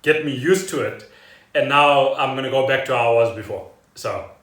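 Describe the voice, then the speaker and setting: A man's voice: mid-range voice, normal speed, fairly bright tone. Man, roomy and distant sound. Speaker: podcast co-host. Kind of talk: casual podcast chat. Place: indoors at home.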